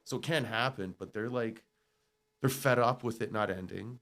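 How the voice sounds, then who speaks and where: A man from Canada whose first language is Ukrainian, hosting a podcast in blunt, profane casual chat that swings between loud and quiet. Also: lowish voice, moderate pace. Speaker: podcast host.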